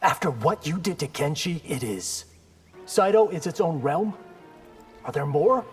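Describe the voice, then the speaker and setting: An actor playing a brash, defiant young ninja in a video game, cocky and sardonic. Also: male voice, a little high, lively intonation, a little slow, faint music behind.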